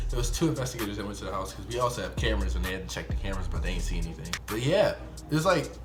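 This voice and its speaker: A young man with an American accent telling a casual, slangy first-person story in an animated way, acting out bits of dialogue. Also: fairly low pitch. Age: young adult. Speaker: YouTube storyteller.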